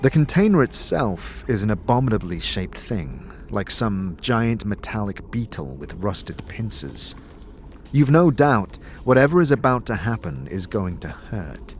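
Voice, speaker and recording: A man's voice: fairly low pitch, very animated, unhurried, slight background noise. A man narrating a fantasy audio drama in a vivid, suspenseful, scripted storytelling style. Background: music.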